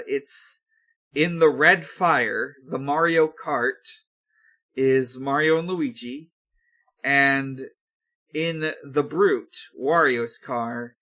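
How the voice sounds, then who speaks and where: Slow, halting man recapping casually. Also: mid-range voice, sharp tone. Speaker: podcast host.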